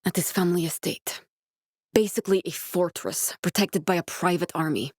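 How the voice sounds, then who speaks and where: An actress playing a guarded, driven scientist in a video game, speaking in a measured, clinical way. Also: female voice, fairly low pitch, a little mellow, dry recording, clean studio sound, lightly acted. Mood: dry, matter-of-fact. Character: guarded, driven woman scientist guiding a survivor, dry and earnest. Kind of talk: video game character voice acting.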